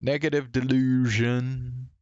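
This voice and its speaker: A man's voice: medium pitch, flat delivery, slow pace, darkish tone, dry recording, muffled recording. A man giving casual game commentary as a streamer, muttering hesitantly to himself.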